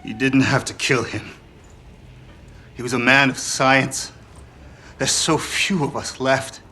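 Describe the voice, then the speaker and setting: An actor playing a grave, conscience-stricken scientist in a video game cutscene, speaking in a solemn, troubled way. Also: male voice, medium pitch, steady tone, takes it slowly, sharp tone, slight background noise. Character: grave, conscience-stricken male scientist, horrified by what he learns.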